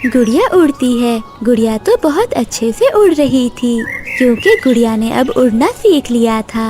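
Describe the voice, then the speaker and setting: A woman's voice: on the high side, expressive, moderate pace, music in the background. A woman narrating a scripted children's cartoon story as its storyteller.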